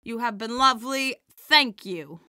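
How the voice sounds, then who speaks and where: A woman playing a feisty, warm-hearted elderly stand-up comedienne in an audio drama, with wry theatrical comic delivery. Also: fairly high pitch, a little slow, smooth, dry recording, studio quality. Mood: wry, playful.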